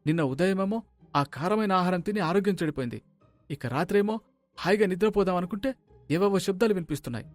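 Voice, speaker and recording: A man's voice: high voice, expressive, fast, dry recording, faint music behind, voice pushed up. A man voicing a frightened, sleepless thief in a cartoon moral story, complaining in an agitated way. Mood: irritated complaint. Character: frightened, grumbling male houseguest thief, rattled and sleepless.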